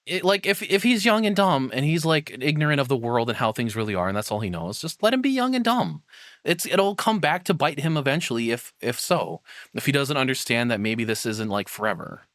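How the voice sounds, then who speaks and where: A man's voice: mid-range voice, very animated, brisk, fairly bright tone, no room sound. Man on a dry mic, rambling streamer. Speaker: streamer and VTuber host. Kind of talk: casual livestream chat and opinion rant.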